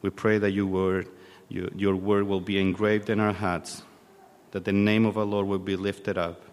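A man in his thirties from Chile, a native Spanish speaker with a Chilean accent, preaching informally and haltingly with a self-deprecating manner. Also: sits low, little pitch movement. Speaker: guest preacher. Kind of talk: sermon. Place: church hall. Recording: long hall reverb.

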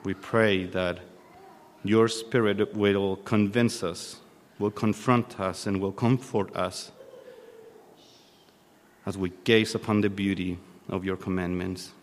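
A man in his thirties from Chile, a native Spanish speaker with a Chilean accent, preaching informally and haltingly with a self-deprecating manner. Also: deep voice, steady tone, a little hiss or hum. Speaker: guest preacher. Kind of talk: sermon. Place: church hall. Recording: long hall reverb.

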